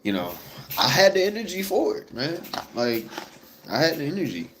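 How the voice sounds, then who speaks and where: A man's voice: on the high side, very animated, moderate pace, noisy background. A congested young man chatting casually and rambling to his viewers, full of slang and fillers. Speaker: vlogger.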